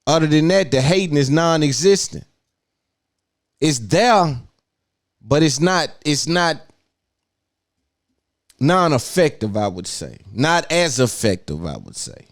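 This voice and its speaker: A man's voice: on the high side, very animated, slow pace, pauses often, dry recording, clean studio sound. A man hosting a podcast, giving an opinionated monologue and repeating phrases for emphasis. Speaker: podcast host.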